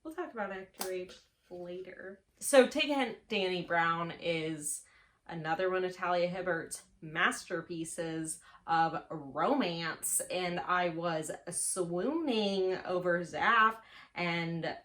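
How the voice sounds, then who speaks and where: A woman's voice: on the low side, takes it slowly, a bit bright. A woman chatting casually to camera as a book reviewer, playful and self-mocking, stretching words for dramatic emphasis. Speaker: book reviewer on a video channel.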